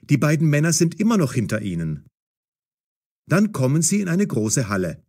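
Husky, expressive male storyteller. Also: on the high side, normal speed. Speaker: narrator. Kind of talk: scripted audio story narration.

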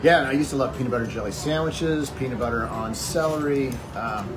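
A man's voice: medium pitch, a little fast, over music, low-quality recording. A man in his forties chatting casually as he reviews a fair dessert, wordy and hesitant, with plenty of fillers and restarts, filmed on a phone in a small wooden shed. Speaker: food reviewer. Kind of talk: casual chat.

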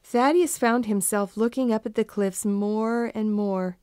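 A woman narrating a children's storybook in an expressive, deliberate storytelling manner. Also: medium pitch, smooth, dry recording, studio quality.

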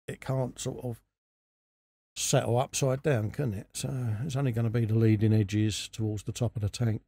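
A man with a low, gravelly voice and a mellow tone talks through hobby work at his bench. He is informal and chatty, explaining each step as he goes in an unhurried way. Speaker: host of a scale model workshop channel. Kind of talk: casual hobby tutorial commentary while working. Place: indoor hobby workbench.

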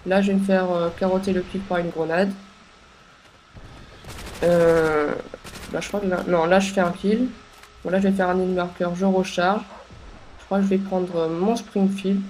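A woman's voice: lowish voice, steady tone, moderate pace, pauses often, darkish tone, a little hiss or hum. Young woman with a stuffy cold voice. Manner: hesitant, many filler sounds and restarts. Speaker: gameplay commentator. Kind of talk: casual gameplay commentary.

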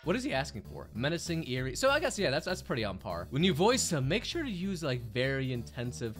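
A man's voice: mid-range voice, wildly swooping pitch, fast. A man in his twenties doing casual, self-deprecating, sweary comedic commentary as a video host. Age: 20s. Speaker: video host, trainee voice actor. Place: small bedroom. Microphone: desk mic on an arm, headset worn. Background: music.

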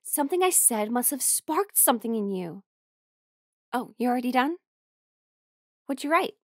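A woman playing a friendly, teasing young musician in an audio roleplay, speaking in a casual, halting, intimate way. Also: fairly high pitch, animated, no room sound, studio quality. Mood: casual, chatty. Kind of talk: intimate conversational roleplay acting.

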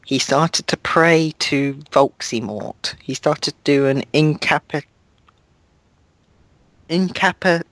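Slow deadpan man reading aloud. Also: on the high side, no room sound. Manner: stumbling, deadpan reading, laughs at the text. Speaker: reader of a fan story. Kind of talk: mock-dramatic reading with friends.

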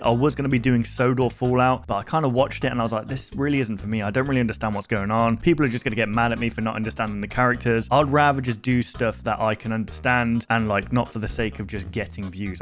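A man in his twenties hosting a reaction video, chatting casually and rambling in a conversational way, close on a desk microphone. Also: mid-range voice. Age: 20s. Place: small home room, indoors. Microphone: close desk microphone with headphones.

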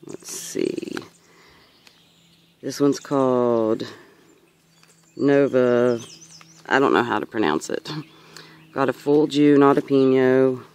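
A woman's voice: rumbling low, steady tone, takes it slowly, long pauses, a little mellow, clean tone. A woman in her thirties giving a casual, rambling vlog-style tour as a gardener, recorded outdoors on a handheld camera. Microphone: handheld camera or phone.

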